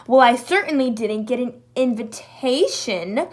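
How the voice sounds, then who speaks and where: A woman voicing a jealous male jackal who whines and complains loudly in a storybook reading. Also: on the high side, animated, measured pace. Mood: whiny.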